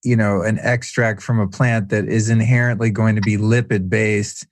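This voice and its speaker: A man's voice: fairly low pitch, steady tone, normal speed, no room sound. Curious male podcast host, dry sound. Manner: curious, chatty questioner. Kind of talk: casual podcast interview.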